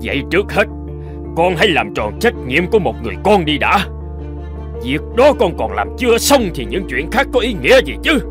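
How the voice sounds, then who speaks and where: A man's voice: fairly high pitch, sing-song, brisk. An actor playing a stern, stubborn middle-aged village father in a cartoon drama, commanding and emphatic as he scolds. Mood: angry. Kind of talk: animated cartoon drama dialogue. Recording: music behind the voice.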